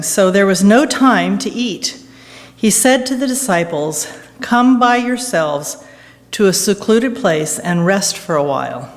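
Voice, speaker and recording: A woman reading scripture aloud in a measured, deliberate way. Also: medium pitch. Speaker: scripture reader. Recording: long echoing church-hall reverb.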